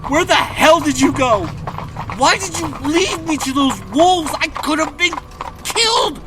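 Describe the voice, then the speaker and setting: A man acting a frightened, indignant carriage passenger in a horror audio drama, agitated and demanding, over loud background noise. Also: extremely high pitch, expressive, measured pace. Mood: angry, shaken.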